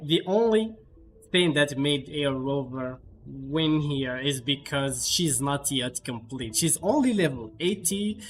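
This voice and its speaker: Guy streaming a game, slow halting casual commentary, slightly high voice, music behind him. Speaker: game livestream host. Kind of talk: casual live gameplay commentary.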